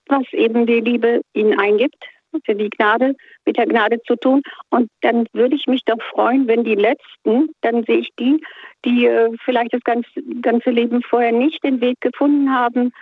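A woman's voice: a little high, husky, no room sound. A woman calling into a radio show, talking in a hesitant, devout, rambling way. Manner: hesitant, searching for words, devout. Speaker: radio caller. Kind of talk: phone-in question, rambling. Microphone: telephone. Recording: tinny phone line.

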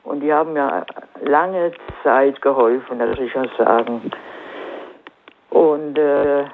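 A woman caller with a southern German accent, stuffed up from a cold, talking hesitantly with lots of pauses and fillers. Her voice comes through a thin, crackly phone line with a faint hiss behind it. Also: extremely low pitch. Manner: hesitant, halting with many fillers. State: has a cold.

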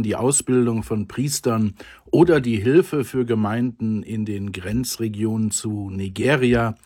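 Slow, husky German radio host. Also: male voice, fairly low pitch, a little mellow. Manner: measured, formal and courteous. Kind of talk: radio interview and presenting.

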